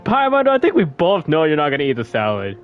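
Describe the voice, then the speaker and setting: A man's voice: on the high side, wildly swooping pitch, quick pace, dull audio. A man giving casual gameplay commentary, rambling and thinking aloud as he plays. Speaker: gaming video creator. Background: game music.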